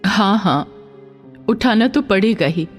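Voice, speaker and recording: Poor working mother, voiced by a woman: defiant, emotional, lively swinging pitch, animated story, music beneath. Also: slow pace. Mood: resigned.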